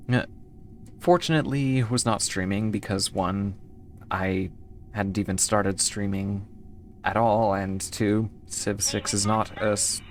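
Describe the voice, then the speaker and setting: A woman's voice: a bass voice, slight background noise. A woman from the United States streaming a game and chatting casually with her viewers, easygoing and rambling as she answers chat between moves.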